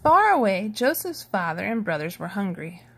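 A woman reading a children's picture book aloud in an expressive storytelling manner, with a slightly husky voice. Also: medium pitch, moderate pace. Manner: animated, storytelling delivery. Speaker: storybook reader.

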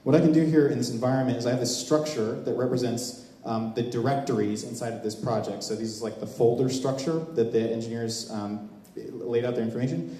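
A man's voice: medium pitch, fairly even intonation, fairly quick, mellow. Man presenting in a very echoey hall. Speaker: software presenter at a meetup. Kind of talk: live demo talk to an audience. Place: large open indoor event space, on a small stage before a seated audience. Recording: echoey hall with long reverb.

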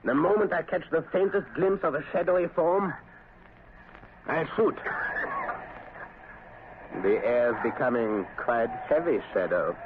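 An actor playing a cold, arrogant villainous doctor in a 1930s radio drama, deliberate, menacing and self-assured. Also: male voice, fairly high pitch, lively intonation. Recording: tinny, noisy 1930s radio broadcast.

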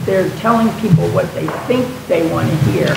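A class participant making a measured, slightly hesitant comment during a church classroom discussion. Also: mid-range voice, creaky, reverberant, distant mic, noisy background.

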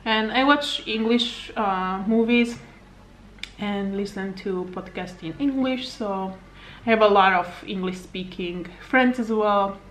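A woman in her thirties with a Hungarian accent talks to a camera on her table in a living room, rambling in a casual, chatty way. Her voice sits at an ordinary middle pitch, and a faint hum of room noise stays under her words. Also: slow, low-quality recording. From Slovakia. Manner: rambling, chatty, frequent laughs and fillers. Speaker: fitness vlogger and coach. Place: home living room, indoors. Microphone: camera on table at arm's length.

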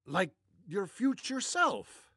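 A man's voice: high-pitched, very animated, takes it slowly, pushed and bright, breathy edge, no echo, studio quality, exaggerated voice. Smug, exasperated cartoon older man. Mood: impatient. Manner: theatrical, sardonic, easily exasperated. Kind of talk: animated comedy character acting.

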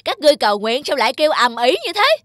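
A woman's voice: high-pitched, sing-song, fast, fairly bright tone, a little hiss or hum. A woman voicing a hungry, scheming, impatient cartoon fox with a menacing, gloating manner. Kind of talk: animated cartoon dialogue.

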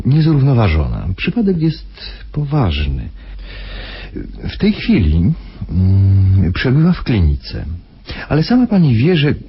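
An actor playing a grave, smoothly persuasive husband who speaks haltingly with weighty pauses in a radio play. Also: male voice, medium pitch, dull audio, heavily acted.

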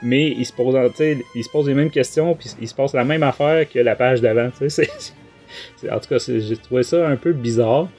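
A man's voice: medium pitch, a little fast, darkish tone, soft background music. A man with a Québécois French accent hosting a podcast, giving a casual book review in a rambling, colloquial, hesitant way.

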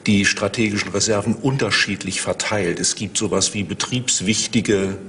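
A man's voice: on the low side, fairly even intonation, moderate pace, a bit bright, slight background noise. A man explaining as an expert interviewee, spontaneous and formal, with some hesitation.

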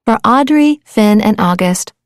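A woman narrating a children's storybook in a dramatic, playful and deliberate way. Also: medium pitch, lively intonation, no echo, clean studio sound. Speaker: audiobook narrator.

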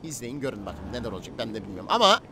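A man's voice: medium pitch, big pitch swings, rapid-fire, pushed and bright, a little hiss or hum. A man with a Turkish accent streaming online roleplay, bossy, slangy and combative as he commentates and talks to players. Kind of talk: live gaming commentary and in-game roleplay chat.

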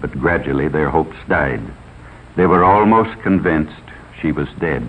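A man's voice: very deep voice, a little slow, slightly rough. An older man giving scripted, moralizing narration as presenter of a 1950s educational film. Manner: stern, moralizing. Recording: tinny band-limited 1950s film soundtrack.

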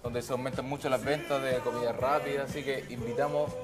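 A man's voice: mid-range voice, flat delivery, a little fast, over music. A man, a store representative guest who talks earnestly about his shop. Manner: earnest, salesy. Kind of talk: promotional studio chat. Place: TV studio.

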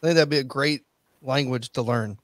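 A man's voice: mid-range voice, fairly quick, no room sound. A man in his thirties co-hosting a podcast, talking in a loose, self-deprecating, slangy way. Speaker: podcast co-host. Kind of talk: casual video call chat.

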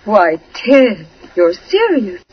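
An actress playing an uneasy, evasive wife in a 1940s-style radio drama. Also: female voice, medium pitch, sing-song, slow, breathy edge, exaggerated voice. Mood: evasive, puzzled. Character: uneasy, evasive young wife, anxious and pleading. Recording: muffled old radio recording.

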